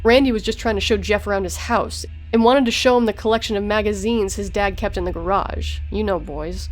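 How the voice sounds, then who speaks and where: A woman's voice: mid-range voice, fairly even intonation, fairly quick, soft background music, lightly acted, rough recording. A woman playing an exasperated, complaining suburban mother in an audio drama. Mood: exasperated, indignant. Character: exasperated suburban mother, upset about her son.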